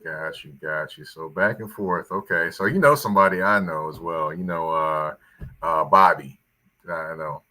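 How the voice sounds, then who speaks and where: A man hosting a podcast interview, asking questions in a relaxed, laid-back way. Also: rumbling low, moderate pace. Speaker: podcast host. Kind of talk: casual interview chat.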